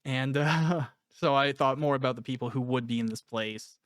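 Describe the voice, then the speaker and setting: Male interviewer-author, mid-pitched smooth voice, dry sound, reflective and candid casual talk. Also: moderate pace, no echo. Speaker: author interviewing a cast member.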